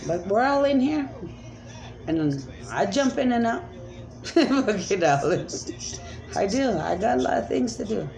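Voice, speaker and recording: Gravelly woman pleading over background music. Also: medium pitch. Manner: emphatic, pleading, repeats commands. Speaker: self-described spirit medium. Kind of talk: rambling monologue voicing named spirits.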